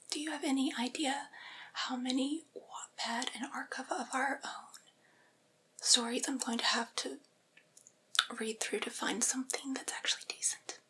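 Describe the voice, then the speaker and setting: A woman playing a vampire's devoted, patient familiar in a whispered ASMR roleplay, speaking softly and breathily in a coaxing, chatty, gently teasing way. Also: high voice, fairly even intonation, measured pace, rough recording.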